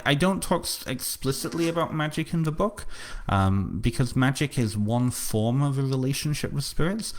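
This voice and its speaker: Author host, male, low register, faint North Welsh lilt, hesitant casual delivery. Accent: slight North Welsh. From North Wales. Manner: halting, repeats words, many fillers. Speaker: author hosting a book launch livestream. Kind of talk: casual live stream talk, answering comments.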